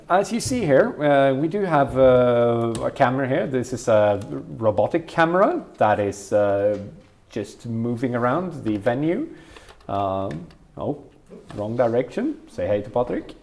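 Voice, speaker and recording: A man's voice: mid-range voice, very animated, unhurried, darkish tone. A man with a Swedish accent, a native Swedish speaker, walking through tech equipment in a casual tour with many ums and uhs. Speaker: event organizer showing equipment.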